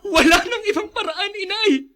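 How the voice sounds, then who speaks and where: A young son in a Filipino radio drama, with a high, bright, tense voice. He is devoted but desperate, earnest and defensive, pleading with emotion. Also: expressive, moderate pace, full character voice.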